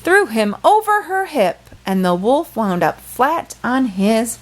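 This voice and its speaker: A woman reading a picture book aloud for children, expressive and playful, with dramatic phrasing and small asides. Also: fairly high pitch, slow pace.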